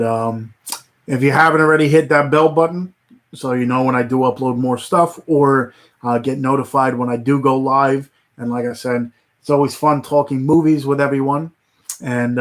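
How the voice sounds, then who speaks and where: A man chatting on a casual livestream at an ordinary pitch, drifting from thought to thought with plenty of fillers and false starts. His voice is wearing thin and turning hoarse. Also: fairly even intonation, a little slow. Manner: rambling, many fillers and restarts. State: voice getting hoarse. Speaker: livestream host.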